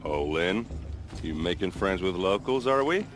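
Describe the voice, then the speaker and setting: A roguish warrior captain for a video game, with a very low voice. He talks in an easygoing, teasing, flirty way, with faint ambient noise behind him. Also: animated, measured pace. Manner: casual, teasing, flirty banter.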